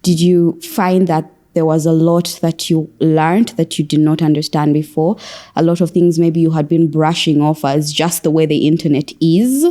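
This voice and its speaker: A woman's voice: deep voice, steady tone, normal speed, mellow. A young woman hosting a conversational panel podcast, wordy and rephrasing her questions as she goes. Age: young adult. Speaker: podcast host.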